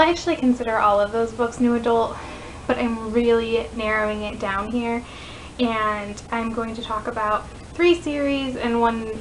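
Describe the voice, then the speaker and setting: A woman's voice: a little high, slight background noise, low-quality recording. A woman in her twenties with an American accent, chatting casually as she gives book recommendations in a vlog. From northeastern United States. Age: 20s. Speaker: book vlogger.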